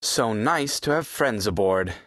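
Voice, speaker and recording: An actor playing a bored, sarcastic young prince with an aristocratic, disdainful manner, in an audiobook. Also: male voice, lowish voice, expressive, a little slow, fairly bright tone, no room sound, studio quality. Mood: wry disdain. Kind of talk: full-cast audiobook character acting.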